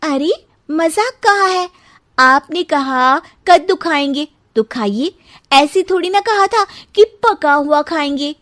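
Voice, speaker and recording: A woman's voice: sits high, animated, slow, fairly bright tone, heavily acted. A woman voicing an indignant young bride in a cartoon, questioning in a pointed, exasperated way. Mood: defiant. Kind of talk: cartoon dialogue, Hindi moral story.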